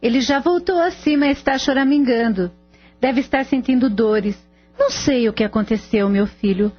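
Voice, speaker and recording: An actress as a worried older mother of a grown son, speaking in a high voice. It is radio drama acting, with music behind a dull, lo-fi recording. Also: moderate pace, close to an everyday voice. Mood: worried, tearful.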